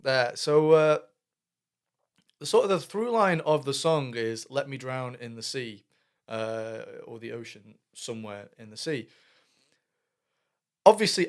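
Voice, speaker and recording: A young British man hosting a reaction video, thinking aloud in casual commentary with lots of fillers and restarts. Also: medium pitch. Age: young adult. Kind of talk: casual commentary over music. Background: music playing faintly.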